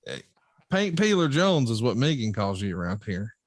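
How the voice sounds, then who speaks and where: A man's voice: mid-range voice, big pitch swings, moderate pace, no echo, studio quality. A man hosting a podcast in a casual, conversational style, explaining things with a wry touch. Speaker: podcast host reading listener questions.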